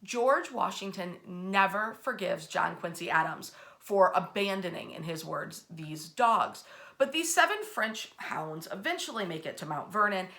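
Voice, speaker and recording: A woman with a bright, crisp-edged voice at an average pitch tells history as a storyteller. She is animated and informal, stressing words for dramatic effect. Wry, colloquial asides break up the narration. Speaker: host and storyteller of a history series.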